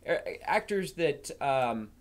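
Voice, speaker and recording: A man chatting casually as a panelist on a live stream, with a jokey, rambling way of talking and animated asides. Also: fairly high pitch, slow. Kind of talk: casual chat.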